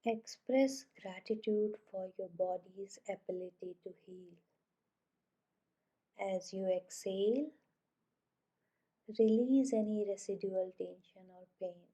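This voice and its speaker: A woman guiding a meditation in a soothing, gentle way, giving measured, scripted instructions. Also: medium pitch, highs cut off. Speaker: yoga nidra meditation guide. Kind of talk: guided meditation, scripted narration.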